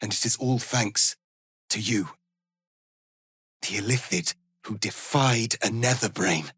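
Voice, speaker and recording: A man playing a proud, stern alien warrior prince in a fantasy video game, speaking formally and gravely with commanding, measured delivery. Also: on the low side, a bit bright, no echo, exaggerated voice. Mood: solemn, resolute. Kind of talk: dramatic fantasy game dialogue.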